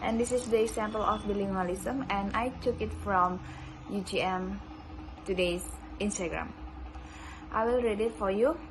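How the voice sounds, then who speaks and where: A young woman from Indonesia, a native Indonesian speaker with an Indonesian accent, giving a class presentation by slowly and carefully reading a prepared script. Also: medium pitch, noisy background. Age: young adult. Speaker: student presenter.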